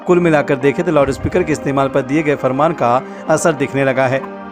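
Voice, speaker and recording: A man's voice: mid-range voice, steady tone, a little fast. An Indian man narrating a scripted news report as a voice-over. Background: prominent music bed.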